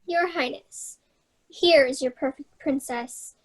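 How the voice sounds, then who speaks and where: A woman playing a wry, quick-witted young heroine in a fairy-tale play reading, with a dry, teasing manner. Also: sits high. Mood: kindly confident. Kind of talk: staged reading of children's play.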